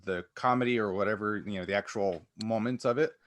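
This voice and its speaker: A man's voice: fairly low pitch, fast, no echo. A man co-hosting a podcast, explaining things in a casual, conversational way. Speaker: podcast host.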